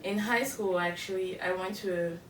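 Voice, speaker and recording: A young woman from Cameroon, co-hosting a podcast and telling her story in a measured way, correcting herself as she goes. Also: mid-range voice. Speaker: podcast co-host. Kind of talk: casual chat. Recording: echoey room, mic far off, background noise.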